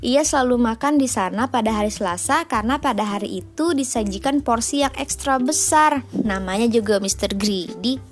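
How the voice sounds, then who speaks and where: Female storyteller, Indonesian accent, high voice, expressive motherly bedtime reading over soft music. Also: normal speed. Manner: expressive, informal asides to child. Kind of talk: children's storybook narration.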